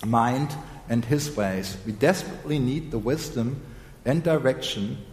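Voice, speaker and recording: A hoarse male preacher delivers a sermon at a slow, deliberate pace, pausing to clear his throat. His voice rings through a roomy hall with a long echo and a faint hum behind it. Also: mid-range voice, darkish tone. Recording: echoey hall with long reverb.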